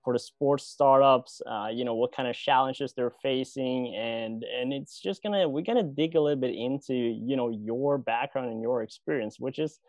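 A man's voice: mid-range voice, normal speed, few pauses, darkish tone, no echo. A man hosting an interview, chatty and loose, filling his speech with "you know" and "like". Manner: rambling, many "you know" and "like" fillers. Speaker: host. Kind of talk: casual interview. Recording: dry, dull lo-fi audio.

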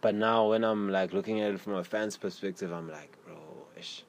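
A young South African man with a South African accent, hosting a podcast and talking in a relaxed, rambling way full of slang. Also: fairly low pitch, moderate pace. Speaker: podcast host. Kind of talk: casual interview chat. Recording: faint background noise.

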